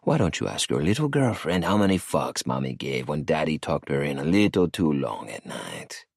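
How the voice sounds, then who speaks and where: A man's voice: a bass voice, sing-song, normal speed, vocal fry, breathy edge, dry recording, clean studio sound, full character voice. A man doing a sneering cartel boss. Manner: sneering, taunting. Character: arrogant, mocking male cartel boss with a cigar.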